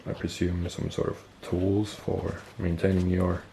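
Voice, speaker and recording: A man with a deep, gravelly voice and a stuffy nose talks through a piece of gear on camera. He is relaxed and unhurried, thinking aloud, trailing off and correcting himself as he goes. A faint hiss of background noise sits under his voice. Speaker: military gear collector and video host. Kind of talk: casual show-and-tell explanation.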